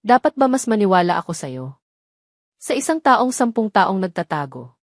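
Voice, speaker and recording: A woman's voice: mid-range voice, big pitch swings, moderate pace, dry recording, studio quality. A woman storyteller voicing a hurt, distrustful female doctor in an audio drama, with a pointed, emotional manner. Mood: sarcastic.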